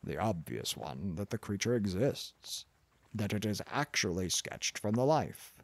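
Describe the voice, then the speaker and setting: A man's voice: lowish voice, very animated, measured pace, no room sound, exaggerated voice. A man reading an audiobook, voicing a pompous, contemptuous older male professor in a blustering, condescending manner. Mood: lecturing. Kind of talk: audiobook character voice.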